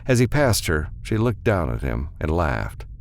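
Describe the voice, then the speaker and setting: A man narrating scripted fiction as an audiobook reader, with expressive storytelling delivery. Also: very deep voice, normal speed, gravelly, slight background noise.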